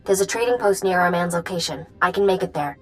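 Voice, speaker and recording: A woman's voice: lowish voice, fast talker, soft background music, close to an everyday voice. A woman playing a wry, wary underground informant in a video game, dry and matter-of-fact. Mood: urgent, guarded. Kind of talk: video game dialogue acting.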